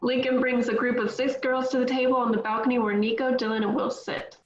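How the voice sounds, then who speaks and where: A woman's voice: a little high, flat delivery, brisk, reverberant, muffled recording. Woman reading stage directions. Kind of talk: table read of a screenplay.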